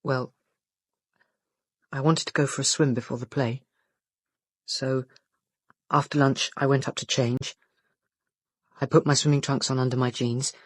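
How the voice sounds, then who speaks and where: An actor playing a polite, earnest teenage schoolboy in a radio play, speaking hesitantly and deferentially. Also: male voice, mid-range voice, level tone, a little slow, long pauses, husky, dry recording, studio quality. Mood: hesitant, uneasy.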